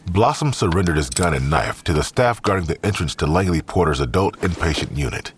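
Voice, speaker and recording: An actor playing a third-person narrator of a crime story, reading in scripted audio drama style. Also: male voice, deep voice, lively intonation, a little fast, slight background noise. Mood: serious.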